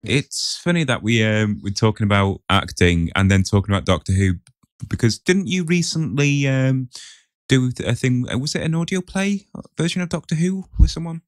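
A man with a medium-pitched voice chats casually on a podcast. The sound is dry and free of room echo. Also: expressive, normal speed. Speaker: podcast panellist.

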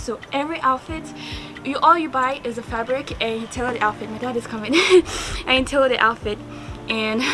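A young woman with a Nigerian accent, chatting casually to a handheld camera outdoors in the heat and laughing often. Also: fairly high pitch. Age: young adult. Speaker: vlogger. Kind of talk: casual vlog chat to camera. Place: outdoors by a house in hot sun. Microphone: handheld camera at arm's length.

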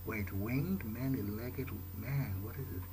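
A man's voice: medium pitch, a little slow, background noise. A man playing a video game in character, thinking aloud in a musing, hesitant way. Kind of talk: in-character game playthrough commentary.

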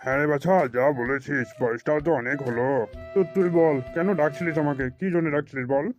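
A man plays an elderly cartoon grandfather who chats and scolds in a folksy, rambling way. His male voice sits rather high and runs very fast. Music plays clearly behind him. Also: fairly even intonation, highs cut off, natural voice. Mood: chatty. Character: easily offended, chatty elderly Bengali grandfather. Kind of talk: comedy cartoon dialogue.

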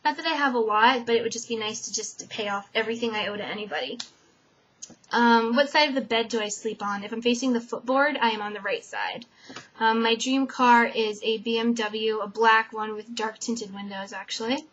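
A woman's voice: fairly high pitch, brisk, a little hiss or hum. Chatty young woman on a lo-fi webcam. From Connecticut, USA. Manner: chatty, many "um" fillers, trailing off softly at sentence ends. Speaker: vlogger. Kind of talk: casual tag-video chat. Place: dim bedroom, indoors. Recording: dull lo-fi webcam audio.

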